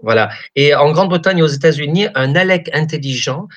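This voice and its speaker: A man's voice: on the high side, brisk, a bit bright, muffled recording. A man hosting a radio show, chatting with a guest and stumbling through many fillers and restarts. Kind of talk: radio chat.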